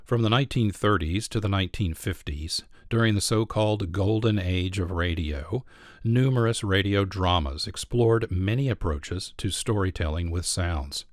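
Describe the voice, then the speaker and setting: Deep male narrator, very dry sound. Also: moderate pace, no room sound. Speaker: narrator, host. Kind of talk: scripted documentary narration.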